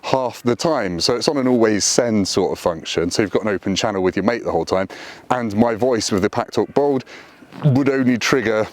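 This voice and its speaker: A low-pitched man talks to camera from a roadside lay-by. He is casual and chatty, with a dry, self-deprecating humour. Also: a little fast, no echo. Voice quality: deep voice. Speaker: motorcycle vlogger. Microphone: camera on tripod.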